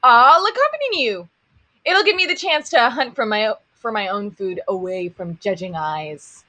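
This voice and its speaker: A woman's voice: a little high, expressive, normal speed, pushed and bright. A woman playing a proud, grudgingly helpful talking lion in a live fantasy table read, theatrical and haughty.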